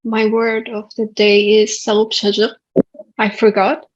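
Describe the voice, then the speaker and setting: A woman's voice: mid-range voice, completely flat delivery, slow. A woman from Syria introducing herself in an online class, cheerful and laughing easily, over a video call. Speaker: student. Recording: dull lo-fi video call audio.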